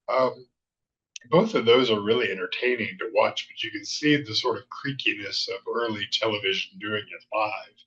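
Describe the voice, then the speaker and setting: A man hosting a show with casual, somewhat halting commentary, in slightly muffled sound. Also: on the low side, fairly bright tone. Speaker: show host. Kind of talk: casual commentary on vintage television.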